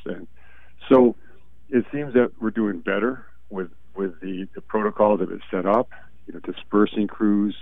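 Hoarse, deep-voiced man on a phone line. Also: no echo. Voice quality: gravelly. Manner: slow and halting, often trailing off quietly. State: hoarse, stuffy and congested. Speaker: guest expert on call-in. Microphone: phone call. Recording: tinny phone line, dry.

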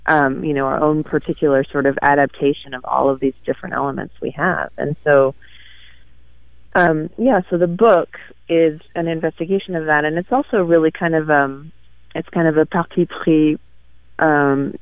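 A man talking about his work in a halting, thoughtful way, with many fillers, as a guest on an interview show, heard over a tinny line. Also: on the high side, steady tone, smooth, dry recording. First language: French. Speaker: guest author. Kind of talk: casual interview chat. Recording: tinny phone-like radio line.

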